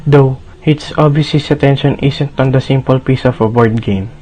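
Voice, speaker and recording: A man narrates a radio drama in scripted storyteller style. His voice is mid-pitched, with faint noise behind it. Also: moderate pace, a little mellow.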